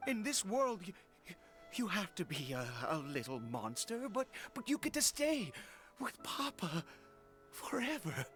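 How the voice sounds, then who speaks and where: A man's voice: high-pitched, big pitch swings, unhurried, fairly bright tone, soft background music, heavily acted. An actor playing a desperate, fervent father in a video game, theatrical and stammering, with breathless exclamations. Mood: anxious, reassuring. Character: overjoyed, fervent, desperate father clinging to his son. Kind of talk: dramatic game voice acting.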